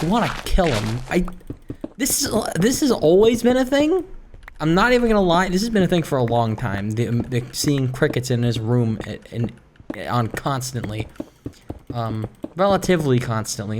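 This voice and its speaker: Medium-pitched voice, casual gaming stream, hesitant, wry, wandering delivery, background noise on a home microphone. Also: very animated, moderate pace. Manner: rambling, hesitant, self-interrupting. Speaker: gaming video creator and streamer. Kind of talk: casual rambling chat over Minecraft gameplay. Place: room at home.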